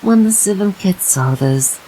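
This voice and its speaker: Slow, mellow woman reading bedtime tales. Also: medium pitch, animated, breathy edge, poor audio. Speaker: streamer reading fairytales. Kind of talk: bedtime fairytale narration.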